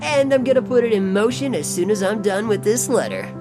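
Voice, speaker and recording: A man's voice: sits high, expressive, a little fast. A man playing an upbeat, laid-back teenage mutant turtle in an audio comic drama, slangy and earnest. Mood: hopeful. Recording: music under the voice.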